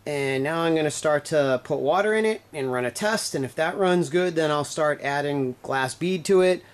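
A man's voice: fairly high pitch, normal speed. A man casually walking through a do-it-yourself build in his garage workshop, explaining as he goes and often correcting himself mid-sentence. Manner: rambling, self-correcting explanations while filming. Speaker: DIY video maker.

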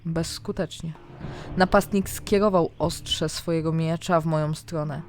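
A woman narrating a scripted fantasy diary in the first person, with dramatic, expressive storytelling. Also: low voice, a little slow. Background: background music.

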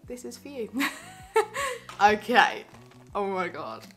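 A young woman in her twenties, chatting excitedly and giggling through a haul vlog, filmed in a small bedroom by a camera facing her. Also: mid-range voice, slow, soft background music. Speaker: vlogger.

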